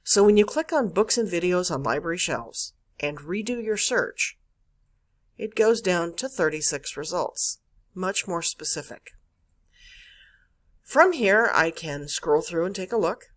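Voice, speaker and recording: A woman narrating an instructional screencast as a librarian, explaining step by step with emphasis on key words. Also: fairly low pitch. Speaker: college librarian giving a catalog tutorial. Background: screen-recorded voice-over.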